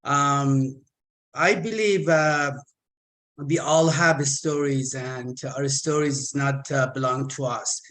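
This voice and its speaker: A man in his fifties from Iran, speaking with an Iranian accent and halting, repeated words as he gives a personal talk as a guest speaker. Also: medium pitch, steady tone, a bit bright. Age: 50s. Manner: halting, stammered repetitions.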